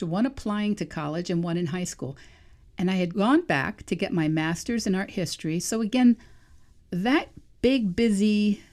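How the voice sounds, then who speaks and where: Reflective woman in her sixties, lower pitch. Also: darkish tone. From New England. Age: 60s. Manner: thoughtful, musing, self-questioning. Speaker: podcast host and vegan life coach. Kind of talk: solo reflective podcast monologue.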